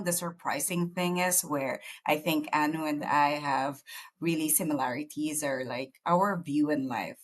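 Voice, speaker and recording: A woman in her late thirties from the Philippines, co-hosting a casual podcast chat in a lively, talkative way. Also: deep voice. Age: late 30s. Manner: lively, chatty, many fillers. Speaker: podcast co-host. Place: small town in the United States.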